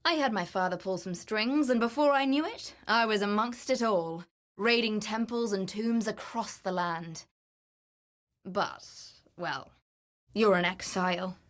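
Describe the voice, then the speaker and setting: A woman's voice: medium pitch, measured pace, close to an everyday voice. An actress playing an adventurous, greedy woman treasure hunter in a video game, confident, wry and theatrical. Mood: candid, wry. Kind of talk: video game character voice lines.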